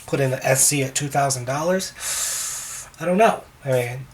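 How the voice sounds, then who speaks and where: A man with a slightly hoarse voice, talking casually as a wedding DJ reviewing equipment, relaxed and rambling with frequent ums and restarts. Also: medium pitch, moderate pace.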